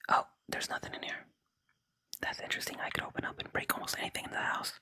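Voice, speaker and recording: A gaming host whispering softly in ASMR style close to the microphone, reading game dialogue and commenting on play. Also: quick pace. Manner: unvoiced, gentle whispering throughout.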